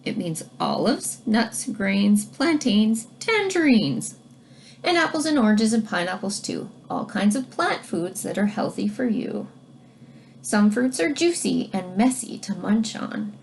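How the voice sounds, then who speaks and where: A woman reading a children's science book aloud like a teacher, expressively, pausing to explain things directly to young listeners. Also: medium pitch, big pitch swings, vocal fry, a little mellow, a little hiss or hum. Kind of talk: read-aloud storytelling with explanatory asides to children.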